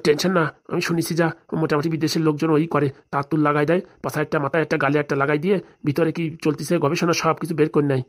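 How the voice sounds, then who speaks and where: A woman's voice: low voice, steady tone, rapid-fire, hardly any pauses. A woman voicing a resentful, henpecked husband in a comedy cartoon, confiding and insistent. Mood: urgent. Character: resentful henpecked husband, furtive, plotting against his wife.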